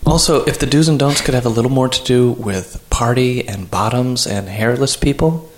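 A man's voice: mid-range voice, moderate pace, a little hiss or hum, lightly acted. A man playing a blunt, exasperated show manager in improvised comedy radio theater, talking in a matter-of-fact, bossy way.

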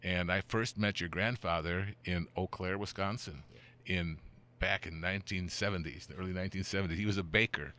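A man's voice: low-pitched, steady tone, a little fast, sharp tone. An older man hosting a podcast interview, chatty and rambling, chuckling now and then and restarting his sentences. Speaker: podcast host. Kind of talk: casual interview.

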